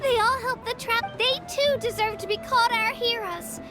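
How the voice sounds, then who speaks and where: A woman voicing an earnest, devoted young girl in an animated game. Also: very high voice, moderate pace, echoey room, over music. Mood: bashful, grateful. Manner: earnest, youthful.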